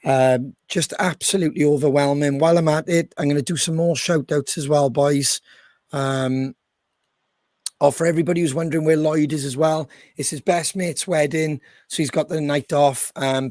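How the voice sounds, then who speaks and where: A hoarse, croaky man hosting his band's podcast in a chatty way, with frequent ums. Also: a little high, steady tone, normal speed, a little mellow, no room sound, studio quality. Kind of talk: casual chat.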